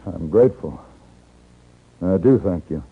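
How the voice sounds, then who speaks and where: An American actor playing a calm, plain-spoken captured gunfighter in a 1950s radio western, narrating and talking in a measured way. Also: male voice, low-pitched, gravelly, breathy edge, full character voice. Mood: earnest. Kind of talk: 1950s radio western acting, first-person narration and dialogue. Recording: muffled 1950s radio recording, music behind.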